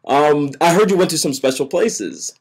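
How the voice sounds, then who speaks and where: A man's voice: on the high side, expressive, normal speed, a little mellow, slightly rough. Young man as a flustered mock interviewer. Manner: fake-polite, flustered talk-show questions. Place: living room at home. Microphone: webcam.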